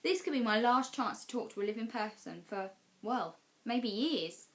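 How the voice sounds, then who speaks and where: A woman narrating a children's novel as a teacher would for class storytime, expressive and measured. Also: fairly high pitch.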